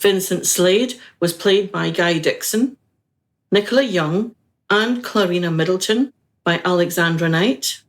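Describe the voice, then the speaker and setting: Slow, lowish-voiced woman reading credits. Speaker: credits reader.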